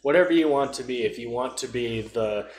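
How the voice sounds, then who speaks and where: A man in casual podcast conversation, speaking in a halting way as he thinks aloud. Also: medium pitch. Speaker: podcast co-host. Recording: roomy echo, faint background noise.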